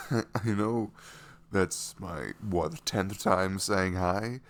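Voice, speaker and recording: A man playing a nervous, adoring boyfriend in an intimate ASMR roleplay, breathy, halting and awestruck. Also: low-pitched, exaggerated voice. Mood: overjoyed, awestruck. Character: nervous, adoring young boyfriend meeting online love.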